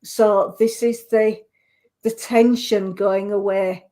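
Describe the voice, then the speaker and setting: A woman with a Yorkshire accent giving a live psychic reading on the radio, gentle and reassuring, often restarting her sentences. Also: medium pitch, steady tone, slow pace.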